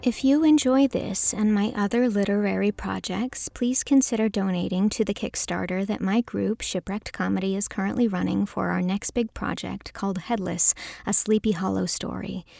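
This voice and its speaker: A woman's voice: mid-range voice, fairly even intonation, normal speed, vocal fry, few pauses, mellow, no room sound. A woman reading scripted narration as an audiobook narrator and host.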